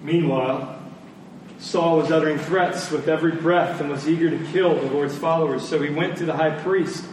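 Echoey, high-voiced man preaching a sermon. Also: fairly even intonation, darkish tone. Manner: emphatic, with deliberate repetition and rhetorical questions. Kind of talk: sermon to a live congregation. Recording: very echoey room, distant mic, faint noise.

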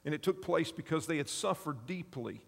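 Man, fifties, pastor, sermon, gravelly voice, earnest storytelling, long hall reverb. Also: on the high side, animated, moderate pace. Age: 50s. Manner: earnest storytelling, asks the congregation along. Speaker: pastor and chaplain.

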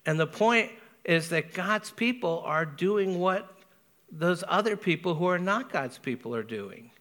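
Pastor guy w/ spanish accent preaching, bright clear voice, kinda higher pitched, slows down on the big words. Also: expressive. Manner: earnest, measured preaching with emphatic slow stresses. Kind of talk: sermon.

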